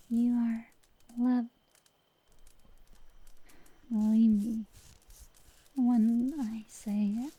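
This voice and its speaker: A woman playing a brash but secretly tender dragon girl sorceress in an intimate ASMR roleplay, soft and sometimes whispering, teasing and affectionate, close to the mic. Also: fairly high pitch, no room sound, full character voice, poor audio. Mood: soothing, affectionate. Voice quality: soft, breathy whisper at times.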